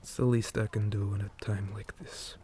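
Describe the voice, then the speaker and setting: A man playing a weary, principled orc commander in an ASMR roleplay, speaking gravely and measuredly and often dropping into breathy whispers. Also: fairly low pitch, little pitch movement, normal speed, warm tone, slight background noise, natural voice. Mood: calm, resolute.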